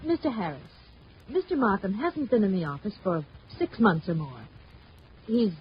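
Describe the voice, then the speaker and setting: An actress playing a guarded, evasive office receptionist in a 1950s radio drama, polite and hesitant. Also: female voice, mid-range voice, big pitch swings, moderate pace, a little hiss or hum, natural voice. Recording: dull old radio recording.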